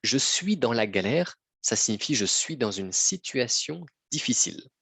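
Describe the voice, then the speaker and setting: A man teaching a slow, patient lesson to learners, repeating phrases and often checking that they follow. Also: mid-range voice, big pitch swings, gravelly, fairly bright tone, husky, dry recording, studio quality. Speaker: French teacher.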